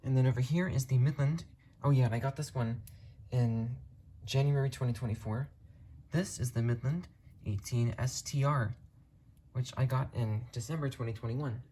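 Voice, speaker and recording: Mellow, casual hobbyist giving a show-and-tell. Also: mid-range voice, moderate pace, slight background noise. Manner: matter-of-fact, detailed explaining. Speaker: hobbyist video host.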